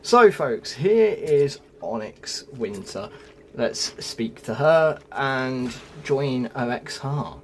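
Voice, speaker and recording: A man's voice: medium pitch, lively intonation, slow pace, a little hiss or hum. A man hosting a gaming guide, explaining things step by step to viewers in a scripted narration style. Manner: explanatory, addresses viewers as folks. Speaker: gaming video host. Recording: music under the voice. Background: music.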